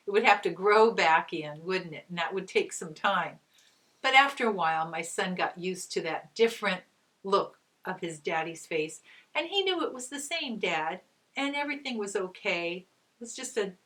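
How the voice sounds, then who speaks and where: Slow-paced woman reading to children. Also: mid-range voice, a bit bright. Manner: simple phrasing, coaxing questions to young listeners. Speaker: storyteller and teacher reading aloud to children. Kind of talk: children's read-aloud with gentle guided discussion.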